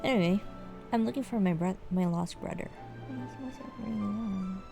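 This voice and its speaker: A woman's voice: mid-range voice, moderate pace, vocal fry, over music. A woman streaming a game, giving casual, hesitant, musing commentary as she plays. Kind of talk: casual live gameplay commentary.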